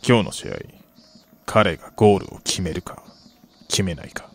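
An actor playing a middle-aged, wistful gambling soccer fan in a radio drama, polite and measured with a rueful, quietly menacing edge. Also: male voice, low-pitched, expressive, breathy edge, exaggerated voice. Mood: quietly challenging. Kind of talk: Japanese radio drama acting.

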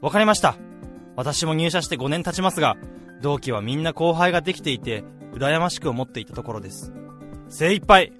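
Guy acting as young salaryman senpai, hearty rough talker, highish animated voice, radio drama w music behind. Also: fairly quick. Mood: earnest, eager. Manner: casual, rough, blokey senior-to-junior speech. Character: hearty, easygoing salaryman mentor, five years at company. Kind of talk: radio drama acting with first-person narration.

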